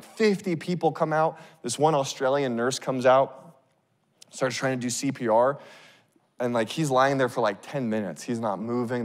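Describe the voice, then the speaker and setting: A man in his thirties preaching on a church stage into a handheld mic, telling a story in a casual, animated way. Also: mid-range voice. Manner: animated, acts out scenes, casual fillers. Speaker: pastor. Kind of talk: sermon storytelling. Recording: long reverb of a big hall.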